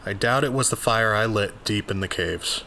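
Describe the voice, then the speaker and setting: Man as a low-voiced, exasperated investigator. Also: moderate pace, pushed and bright, slight background noise. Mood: brooding. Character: earnest, exasperated, bookish male occult investigator of 1920s. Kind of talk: first-person journal narration, dramatized.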